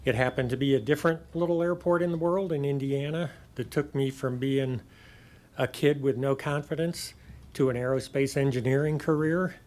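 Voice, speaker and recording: A man speaks briefly at a city council meeting in an ordinary, mid-range voice. He is sincere and earnest, pleading gently on behalf of young people. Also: mid-range voice, fairly even intonation, moderate pace. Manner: earnest, heartfelt plea. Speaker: public commenter. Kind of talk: brief public comment.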